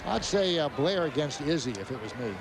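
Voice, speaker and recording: Male American baseball co-commentator, fairly high voice, filling in between pitches on a very noisy broadcast. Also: expressive, a little fast. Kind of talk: colour commentary and promos. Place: ballpark broadcast booth.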